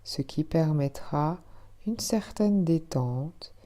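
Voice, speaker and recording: A woman teaching yoga nidra, guiding a relaxation meditation in a soothing, hushed, measured manner with gently repeated phrases. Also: low-pitched, animated.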